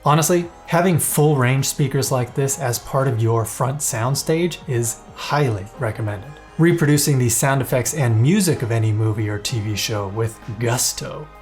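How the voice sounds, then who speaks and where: A man narrating a product review in a scripted, upbeat way, playful and openly excited. His pitch sits in an ordinary middle range. Soft music plays faintly underneath. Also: moderate pace, few pauses. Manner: playful, enthusiastic.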